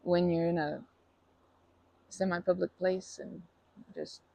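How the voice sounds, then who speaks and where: A woman performs a timid, gentle park regular offering comfort in an intimate ASMR roleplay. The voice is soft and dark-toned, husky and full of gravelly creak. The delivery is hesitant, with stammers and nervous self-corrections throughout. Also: fairly low pitch, fairly even intonation, takes it slowly, exaggerated voice. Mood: gentle, empathetic. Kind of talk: ASMR roleplay, improvised-sounding intimate monologue.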